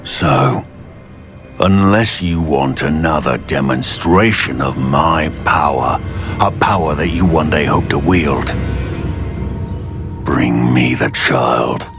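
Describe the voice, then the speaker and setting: An actor playing a ruthless goblin rebel leader in a video game, with a deep, menacing voice and an imperious, threatening manner. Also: male voice, slow, over music, full character voice. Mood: cold menace. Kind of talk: video game character acting.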